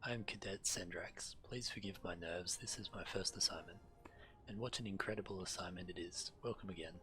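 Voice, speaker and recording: A man streaming a game, reading quest text aloud in a measured way, now and then stumbling and correcting himself. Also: sits low, pushed and bright. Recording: game music behind the voice. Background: video game music.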